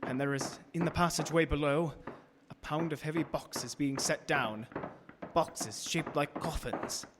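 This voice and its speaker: A man's voice: on the high side, unhurried, natural voice. An actor playing a terrified young man held captive, intense and theatrical, in radio horror style. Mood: tense. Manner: intense, theatrical, breaking into cries. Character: terrified young man, captive in a castle, writing his journal. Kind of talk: dramatic radio horror acting. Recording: reverberant hall, faint background noise.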